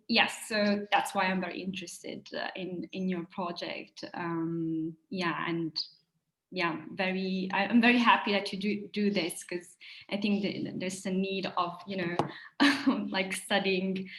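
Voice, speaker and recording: A woman's voice: fairly low pitch, fairly bright tone, slight background noise. Female student on a video call. Hesitant, lots of fillers, echoey room. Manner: hesitant, many fillers and restarts. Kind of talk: self-introduction in an online seminar. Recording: roomy echo.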